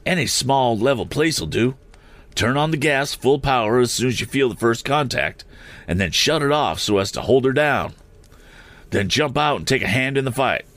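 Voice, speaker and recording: A man voicing a brisk airship captain who barks urgent orders in a storybook reading. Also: medium pitch, lively intonation, fairly bright tone, slightly rough, dry recording, voice pushed up. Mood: commanding, urgent.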